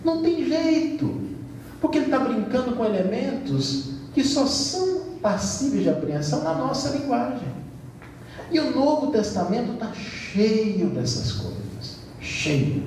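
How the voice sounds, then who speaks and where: A man with a Brazilian accent giving a spiritist lecture to an audience, measured and emphatic with light touches of humor. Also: very high-pitched, sing-song, darkish tone. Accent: Brazilian Portuguese. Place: large event hall. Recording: roomy hall sound from far off with faint noise.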